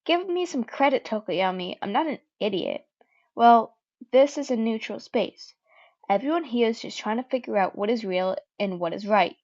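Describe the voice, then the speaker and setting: A young woman voicing a persuasive, sharp-tongued male student in a fan-fiction audiobook, speaking louder and insistently. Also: a little high, lively intonation, normal speed, highs cut off.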